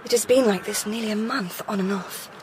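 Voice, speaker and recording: An actress with a British accent playing an earnest, determined young Victorian wife, intense and probing, in a radio drama. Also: female voice, medium pitch, a little fast, background noise, lightly acted. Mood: bleak, weary.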